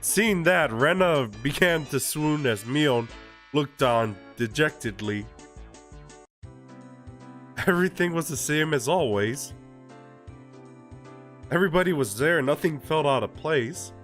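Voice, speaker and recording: A young man with a stuffy, congested voice from a cold, reading a visual novel aloud on a live stream in a relaxed way, with frequent laughs and fillers. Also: on the high side, slow pace, pauses often, faint music behind.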